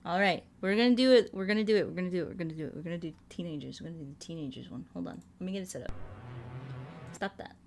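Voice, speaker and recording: A woman's voice: on the low side, racing, a little mellow, a little hiss or hum. A woman streamer in casual livestream chat with her audience, playful and rambling, often repeating her phrases in a singsong way. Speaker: streamer singing requests from chat.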